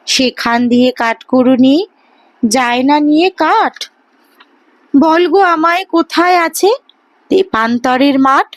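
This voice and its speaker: Female poetry reciter, high voice, unhurried childlike delivery, close dry recording. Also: no room sound, poor audio. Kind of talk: expressive Bengali poem recitation in a child's voice.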